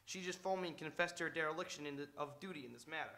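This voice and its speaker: Male actor as a long-winded police sergeant, fairly high pitch, bright tone, formal, good-natured, radio play. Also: very fast. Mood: earnest, officious. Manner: verbose, pompously formal wording. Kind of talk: live radio drama acting.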